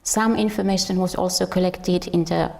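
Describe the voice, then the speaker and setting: A woman with a Finnish accent presents research in an academic lecture. Her voice sits a little low and carries plenty of vocal fry. She reads from prepared notes in a halting way, sometimes stumbling and repeating a word. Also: fairly even intonation, a little mellow. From Finland. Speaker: researcher and musician presenting at a conference. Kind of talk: academic lecture, partly read from a script.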